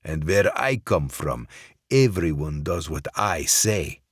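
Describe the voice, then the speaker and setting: A man's voice: low voice, expressive, husky, no room sound, clean studio sound, heavily acted. A man playing a cold Russian crime boss. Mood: commanding. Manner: cold, commanding, slow threats.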